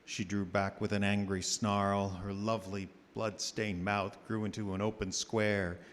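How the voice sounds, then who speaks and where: An actor playing a somber, haunted, skeptical doctor, speaking deliberately in a gothic radio drama. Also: male voice, lowish voice, little pitch movement, natural voice. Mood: horrified, somber. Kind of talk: gothic radio drama acting and diary narration. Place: live theater stage. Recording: reverberant theater hall with noise.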